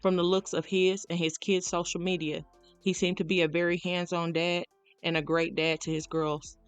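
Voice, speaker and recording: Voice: mid-range voice, flat delivery, moderate pace, clean tone, dry recording, faint music behind. A narrator reading a scripted true crime documentary account.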